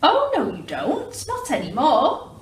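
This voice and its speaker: Woman playing a bellowing, greedy highwayman rat, roomy recording. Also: huge pitch swings, unhurried, full character voice. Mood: scornful. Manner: bellowing, commanding, declamatory. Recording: roomy, distant mic with clear background noise.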